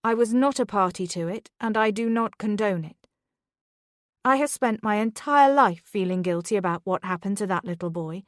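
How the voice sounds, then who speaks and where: Woman narrator doing a proud wounded mum, forceful and dry. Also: mid-range voice, lively intonation, a little slow, no room sound, lightly acted. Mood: defiant. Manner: firm, insistent. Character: defiant mother, proud and wounded.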